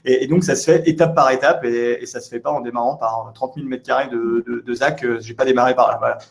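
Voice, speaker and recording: A man's voice: medium pitch, steady tone, quick pace, vocal fry. A man speaking at a conference, coaching the listener directly with many fillers. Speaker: real estate investor speaker.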